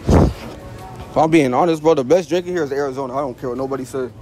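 A man's voice: mid-range voice, fast talker, darkish tone, soft background music. An American man chatting casually in a slangy, informal way as he hosts a prank video. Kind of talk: casual vlog chat.

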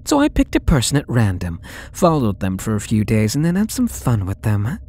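A man's voice: medium pitch, wildly swooping pitch, darkish tone. A young man playing a possessive, sweetly menacing male stalker in an ASMR roleplay audio drama, with a taunting, coaxing manner. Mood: smug, confiding. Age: young adult. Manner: slow, taunting, coaxing delivery.

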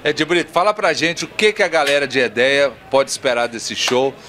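A man's voice: mid-range voice, fairly quick, pushed and bright, a little hiss or hum. A man reporting from a fair, introducing the guests in an announcer's hyped-up way. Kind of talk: event reporting.

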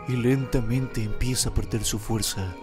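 A man with a Latin American accent delivers parody documentary narration. His mid-range voice keeps a mock-solemn tone with a crude streak. Music plays clearly underneath. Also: mid-range voice, fairly even intonation, moderate pace. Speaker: narrator and fandubber. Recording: music loud behind narration.

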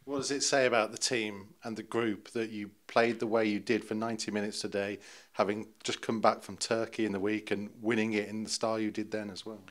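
A man's voice: fairly low pitch, flat delivery, a little fast, hardly any pauses. Man interviewing a footballer after a match. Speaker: club TV interviewer. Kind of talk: post-match interview questions.

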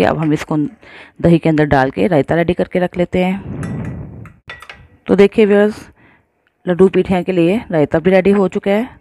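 Warm-voiced woman giving a recipe walkthrough. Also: fairly low pitch. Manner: friendly, addresses viewers often, mixes in English words. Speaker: cooking channel host. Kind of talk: step-by-step recipe voice-over.

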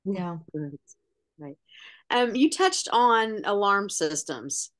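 A woman's voice: medium pitch, lively intonation, slow, fairly bright tone. A woman hosting a webinar, giving short agreeable prompts and asking follow-up questions. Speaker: webinar host. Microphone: webinar call mic.

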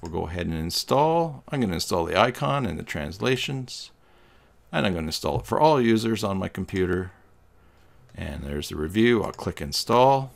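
A middle-aged man giving a step-by-step software tutorial in a plain, instructional manner. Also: low-pitched, big pitch swings, normal speed, vocal fry. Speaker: tutorial host. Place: small indoor room with green screen.

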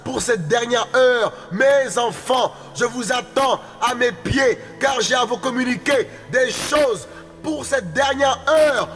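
A man's voice: very high voice, takes it slowly, hardly any pauses, a bit bright. A man delivering a prophetic utterance, with intense, repetitive declaiming and speaking in tongues. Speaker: prophetic preacher. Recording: long hall reverb, faint music behind.

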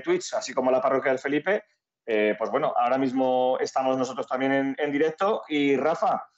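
A man from Spain hosting a live fan podcast, introducing guests and asking them questions. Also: fairly high pitch. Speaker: podcast host. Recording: slightly dull, lo-fi call audio.

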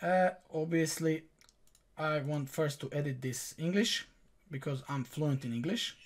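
A man's voice: on the high side, measured pace. A young man whose first language is Croatian, hosting a screen-recorded tutorial and walking viewers through each step in a direct, instructive way from a small room. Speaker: online tutorial host.

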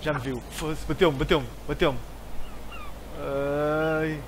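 A young man with a European Portuguese accent, commentating a survival game in a headset, excitable and swearing a lot. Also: a little high, slow, background noise. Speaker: gaming streamer. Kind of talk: casual let's-play commentary.

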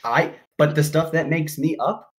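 A man's voice: fairly high pitch, steady tone, a little slow. A man in his twenties on a voice call, laying out his argument step by step. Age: 20s. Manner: methodical, argues step by step. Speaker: debate caller. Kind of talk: casual debate. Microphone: voice call mic.

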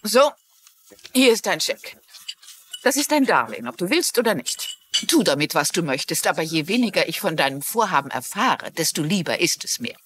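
An actress playing a kind but firm, polite older mystery novelist with a husky voice in a TV crime drama dub. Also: female voice, lowish voice, big pitch swings, normal speed, fairly bright tone, a little hiss or hum. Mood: firm, concerned. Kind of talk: German-dubbed TV crime drama.

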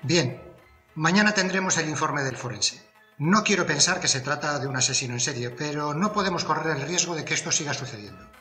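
Man acting a bright-voiced, commanding police inspector. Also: fairly high pitch, fairly quick, roomy sound, soft background music. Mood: firm, resolute. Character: tall, commanding, methodical male police inspector. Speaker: actor in an audiobook. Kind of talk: dramatised crime audiobook dialogue.

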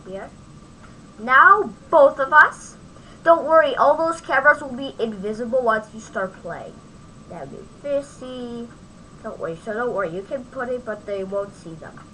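A woman giving a casual, off-the-cuff software tutorial, thinking aloud as she demonstrates on a home microphone recording. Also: fairly high pitch, lively intonation, unhurried, a little hiss or hum, low-quality recording. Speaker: tutorial host.

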